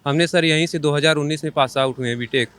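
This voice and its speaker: A man in his twenties from northern India, a protesting candidate, answering an interviewer in a halting, aggrieved way. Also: a little high, fairly bright tone, clear, resonant tone. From Varanasi, India. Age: 20s.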